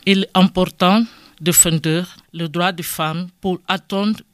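A woman's voice: on the low side, fairly even intonation, unhurried, no echo. Woman, close dry sound. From Angola. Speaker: speaker giving a testimony. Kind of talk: scripted testimony read aloud.

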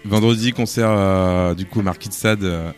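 A man's voice: sits low, fairly even intonation, normal speed. A man, a musician guest, chatting casually in a radio interview in a laid-back, hesitant, slangy way. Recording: faint music behind the voice. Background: music playing quietly.

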